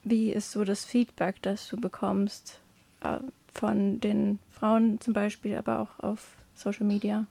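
A woman interviewing a guest, asking calm, short questions. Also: mid-range voice, a little slow, vocal fry, slightly rough, dry recording.

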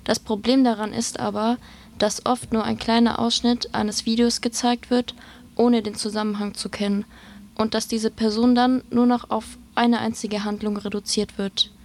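A nineteen-year-old woman, a student panelist, reading a prepared answer in a clear, steady way. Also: a little high, normal speed. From Germany.

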